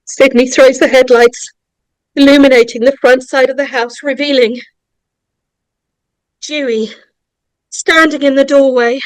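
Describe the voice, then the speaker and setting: High-pitched woman narrating horror, slow and deliberate. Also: steady tone, no room sound, poor audio. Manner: suspenseful, deliberate, with dramatic pauses. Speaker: narrator of a script table read. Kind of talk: dramatic reading of horror screenplay stage directions.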